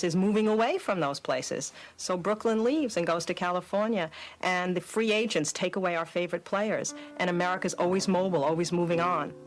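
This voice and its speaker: A woman speaking reflectively, as in a documentary interview, building her thoughts in short, repeated phrases. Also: lowish voice. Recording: clearly noisy clip with background ambience.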